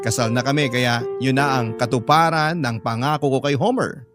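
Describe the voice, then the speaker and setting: A man's voice: medium pitch, normal speed, natural voice. A man narrating as an earnest, sentimental letter writer confiding a love story in a dramatic first-person radio style. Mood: happy, sentimental. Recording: music bed under narration.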